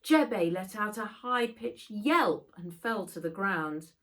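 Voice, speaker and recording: A woman reading a children's adventure book aloud in expressive narration, as an author reading her own work. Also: medium pitch, slow.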